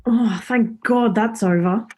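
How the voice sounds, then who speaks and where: A woman's voice: on the high side, slow pace, noisy background. An actress in a radio play playing a teasing, blunt young woman, a devoted girlfriend and sister, in a naturalistic style. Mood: reassuring.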